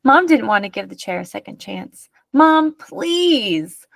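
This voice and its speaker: A woman narrates a children's picture book. Her delivery is expressive storytelling at a medium pitch. The recording is dry, with very little echo. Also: a little fast, vocal fry. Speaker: read-aloud narrator.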